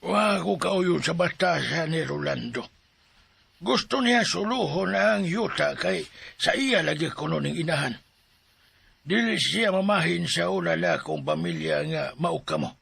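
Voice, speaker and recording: Gravelly, high-pitched old grandfather. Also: lively intonation, a little slow, fairly bright tone, dry recording, exaggerated voice. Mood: insistent. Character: insistent elderly grandfather quarrelling over family land.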